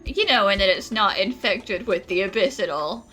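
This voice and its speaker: A woman's voice: mid-range voice, animated, normal speed, bright, forceful tone, faint music behind, rough recording. A woman giving casual, joking, offhand commentary while she plays a video game. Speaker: gaming streamer.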